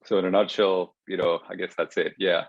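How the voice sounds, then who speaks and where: A very low-voiced man in his thirties from Greece talks through a guest interview, stopping and restarting his sentences often. The video call audio sounds dull and dry, with no room around it. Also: flat delivery, fairly quick, no echo. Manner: hesitant, many restarts and fillers. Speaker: guest, startup co-founder. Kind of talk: remote interview conversation.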